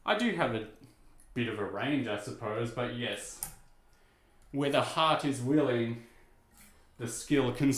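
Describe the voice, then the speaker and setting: A man with a low speaking voice talks in a relaxed, rambling way, joking at his own expense and dropping filler words as he goes. His voice carries a noticeable echo from the room around him. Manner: easygoing, rambling, self-deprecating, many "you know" and "uh" fillers. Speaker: VTuber streamer. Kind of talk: casual chat to stream viewers while restringing a guitar.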